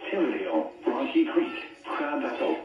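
A synthesized male announcer reads an emergency weather bulletin with flat, impersonal phrasing. The sound is muffled and distant, played from a speaker in a roomy space. Loud music runs alongside it. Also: mid-range voice, moderate pace. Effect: synthesized weather radio voice.

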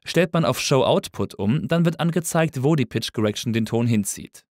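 A man narrating a scripted tutorial as an instructor, explaining things clearly and methodically. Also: medium pitch, lively intonation, moderate pace, darkish tone, dry recording, clean studio sound. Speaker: audio engineering instructor.

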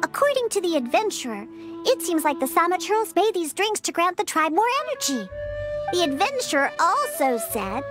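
A woman's voice: very high voice, sing-song, normal speed. A woman voicing a sassy, grumpy cat-eared little girl bartender in a video game, huffy and haughty. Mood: matter-of-fact, storytelling. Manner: huffy, haughty, emphatic delivery. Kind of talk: scripted video game dialogue. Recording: music behind. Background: background game music.

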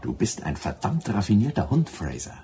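A gruff, cautious boatman from Brazil in a radio drama. His voice is low and swings freely as he grumbles bluntly. There is faint noise in the background. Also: sing-song, moderate pace, dark tone. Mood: grudging admiration. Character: gruff, cautious, patriotic Brazilian boatman, grudgingly won over. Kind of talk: German radio drama acting.

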